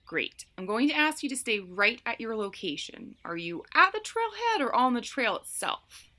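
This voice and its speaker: A woman playing a calm, procedural emergency dispatcher on a call in an audio drama, giving instructions in a businesslike way. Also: on the high side, normal speed.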